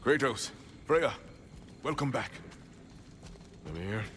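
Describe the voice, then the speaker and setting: An actor playing a steady, pragmatic leader in a fantasy video game, plain-spoken, measured and diplomatic. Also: male voice, mid-range voice, a little hiss or hum. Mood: businesslike.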